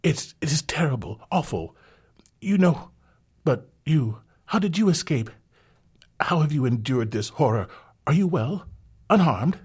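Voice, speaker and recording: A man's voice: on the high side, huge pitch swings, normal speed, few pauses, a little mellow, breathy edge, pitched above the performer's own voice, exaggerated voice. A man doing a fervent, anxious young suitor. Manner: breathless, pleading, turns snarling.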